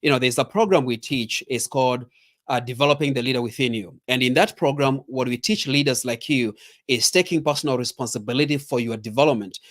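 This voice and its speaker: A middle-aged man with a Kenyan accent speaks at an average pitch. He delivers a motivational training talk as a leadership coach. He stresses and repeats his key points and speaks straight to the listener. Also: fairly quick.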